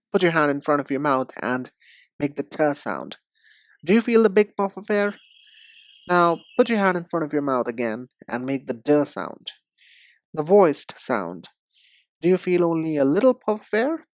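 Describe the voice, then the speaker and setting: A man teaching English pronunciation in a deliberate, instructive way, speaking directly to the learner. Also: a little high, sing-song, no echo. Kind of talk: instructional lesson.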